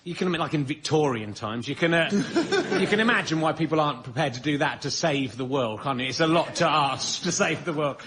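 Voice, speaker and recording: A man's voice: a little high, lively intonation, fairly quick, noisy background. A man rambling comically as a panel show guest, hesitant and self-mocking, restarting his sentences mid-thought.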